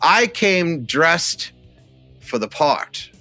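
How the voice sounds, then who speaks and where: A man's voice: on the high side, big pitch swings, drawn-out words, pushed and bright, no room sound, soft background music. A man co-hosting a film discussion podcast, talking with wry, theatrical flourishes. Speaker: podcast co-host. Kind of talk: film discussion podcast, chat and read-out copy.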